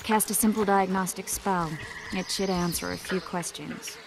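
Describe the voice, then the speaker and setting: An actress playing a knowing, forthright mage and healer in a video game, explaining things in a measured way. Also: female voice, medium pitch, steady tone, gravelly, very noisy, natural voice. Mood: concerned, measured.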